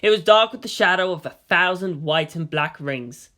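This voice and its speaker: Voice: medium pitch, normal speed, bright, forceful tone. A narrator reading a story aloud in a dramatic, scripted audiobook style.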